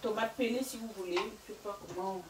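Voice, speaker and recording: A woman's voice: medium pitch, a little fast, recorded from a distance, very noisy. Chatty woman, echoey kitchen. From Gabon and Equatorial Guinea. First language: Fang or another local language of Equatorial Guinea. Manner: chatty, hesitant, many fillers. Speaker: cooking channel host. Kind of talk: casual cooking vlog talk. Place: home kitchen.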